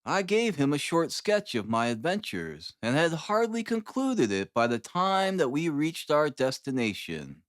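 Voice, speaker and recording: A man's voice: fairly high pitch, very animated, unhurried, hardly any pauses, dry recording, studio quality. A man narrating a classic novel in the first person as an audiobook.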